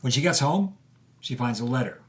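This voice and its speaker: A man's voice: mid-range voice, expressive, moderate pace. A man giving scripted storytelling narration as the narrator of a drama.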